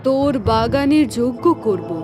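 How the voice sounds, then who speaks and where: A woman's voice: fairly high pitch, expressive, measured pace, music in the background. A woman voicing a stern, commanding tantric exorcist in a cartoon, incantatory in manner. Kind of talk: cartoon voice acting.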